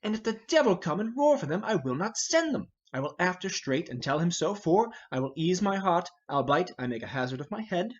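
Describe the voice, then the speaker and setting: A man acts out a hot-headed young noble warrior in a Shakespeare play. He declaims with scorn and impatience, his raised, husky voice swinging widely. The recording is dull and muffled. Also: high-pitched, very animated, brisk, hardly any pauses, full character voice. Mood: defiant. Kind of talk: Shakespearean verse drama reading.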